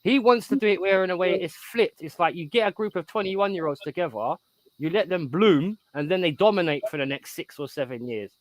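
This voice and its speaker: A man in his thirties rants about football on an online voice call. His voice sits high for a man and sounds dry and close, with no room around it. He rambles on emphatically, checking in with "right?" again and again. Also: expressive, fairly quick, no echo, low-quality recording. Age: 30s. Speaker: guest on a live stream. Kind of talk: casual football chat.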